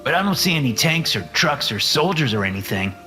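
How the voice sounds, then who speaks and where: A man voicing the young characters of a horror story in a raised, lively voice. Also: brisk. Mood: tense. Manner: raised pitch for dialogue. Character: young adult characters, men and a woman. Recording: music underneath.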